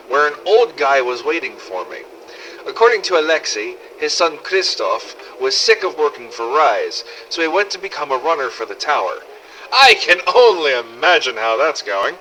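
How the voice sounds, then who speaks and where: A man's voice: mid-range voice, sing-song, normal speed, pushed and bright, a little hiss or hum, low-quality recording. A man playing a wry, weary apocalypse survivor who narrates an audio diary in an exasperated, sweary way. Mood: matter-of-fact, wary. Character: sardonic, weary male survivor runner in a zombie apocalypse. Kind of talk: in-character audio diary narration, fan-made game audio logs.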